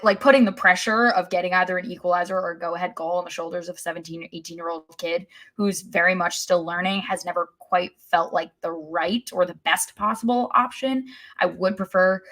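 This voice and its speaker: A woman co-hosting a soccer podcast, discussing the game casually with deliberate, thoughtful phrasing. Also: lowish voice, a bit bright.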